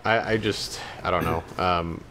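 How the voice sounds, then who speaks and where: A man with a fairly low voice talks casually as a podcast co-host. He hedges his points and often doubles back on what he has said. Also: steady tone, measured pace, bright, forceful tone, a little hiss or hum. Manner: hesitant, hedging, backtracking. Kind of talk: casual chat about games and films.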